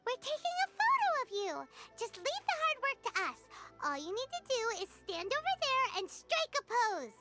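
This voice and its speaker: A tiny childlike fairy companion chirps in a very high, squeaky voice that leaps up and down with eager excitement. Her lines come as game cutscene dialogue over loud, bright background music. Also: moderate pace, few pauses. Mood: excited. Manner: chirpy, excitable, swooping sing-song delivery. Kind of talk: video game cutscene voice acting.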